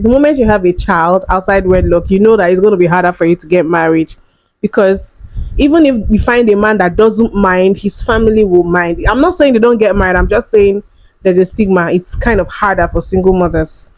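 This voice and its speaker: A woman hosts a reaction video and comments frankly on what she hears, stressing her points. Her voice sits at an ordinary pitch. The recording is close and dry. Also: fairly quick, a little mellow, no echo. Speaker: reaction channel host.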